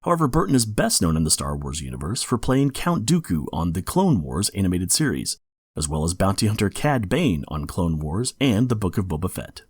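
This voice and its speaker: Male podcast host: informative read, lowish husky voice, highly varied pitch, dry sound. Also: very animated, fairly quick, dry recording. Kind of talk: informative scripted podcast narration.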